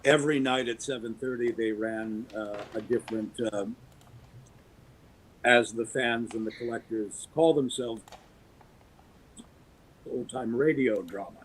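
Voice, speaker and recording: A man's voice: mid-range voice, a little slow, pauses often, slight background noise, rough recording. A man in his fifties from the US East Coast, a guest actor fondly reminiscing about old radio drama in casual chat. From US East Coast, Baltimore. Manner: reminiscing, thoughtful.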